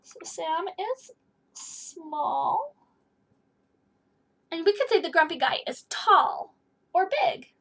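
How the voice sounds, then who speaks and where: A woman's voice: very high voice, expressive, takes it slowly, pauses often, fairly bright tone. A woman in her thirties teaching a read-aloud lesson, explaining and putting questions directly to young children. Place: small indoor room with bookshelf.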